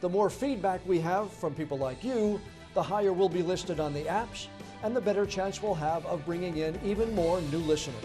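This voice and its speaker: A man hosting a podcast, asking interview questions and reading a closing outro at a measured pace, with occasional stammered restarts. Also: high-pitched, dark tone, faint music behind. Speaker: podcast host.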